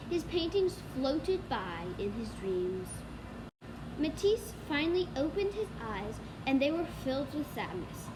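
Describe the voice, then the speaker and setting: A female student reading a children's storybook aloud, with noise behind the voice. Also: sits high. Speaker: student reader. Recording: clearly noisy background.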